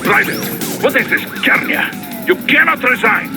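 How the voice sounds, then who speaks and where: A high male voice of an exasperated company boss in a game cutscene. He protests emphatically with lively pitch, over loud music. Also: moderate pace. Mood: exasperated, pleading. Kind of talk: video game cutscene acting.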